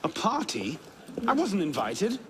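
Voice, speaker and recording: An actor playing a cheeky, flippant young rogue who banters in a wry, breezy way, in a video game. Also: male voice, sits high, wildly swooping pitch, a little slow, noisy background, heavily acted. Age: young adult. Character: cheeky, roguish young man, flippant charmer.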